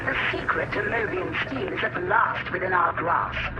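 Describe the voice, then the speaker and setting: An actor playing a haughty, pompous tech-priest in a video game, speaking in a formal, condescending way. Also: male voice, sits high, moderate pace, natural voice. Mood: disdainful. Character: haughty male machine-cult tech-priest, pompous and disdainful. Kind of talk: scripted game mission briefing and dialogue. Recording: roomy, distant, under loud music.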